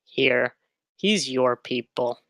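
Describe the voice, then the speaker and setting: A woman's voice: a bass voice, very slow, fairly bright tone, no echo, heavily acted. A woman voicing a slangy, laid-back teenage boy in a script table read. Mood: casual.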